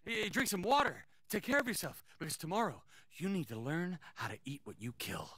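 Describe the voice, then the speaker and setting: Hustling, highly animated sports agent. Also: male voice, a little fast, breathy edge, no room sound, heavily acted. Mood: fired up, intense. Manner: emphatic, theatrical, salesman-like pep talk. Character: fast-talking, overeager small-time male sports agent, grandiose hustler. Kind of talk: acted video game cutscene dialogue.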